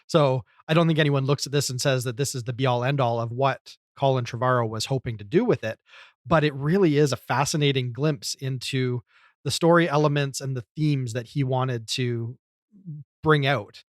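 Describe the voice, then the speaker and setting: A man's voice: medium pitch, fairly even intonation, normal speed, no echo, clean studio sound. A man co-hosting a podcast, talking casually and at length in a chatty, enthusiastic way. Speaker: podcast co-host.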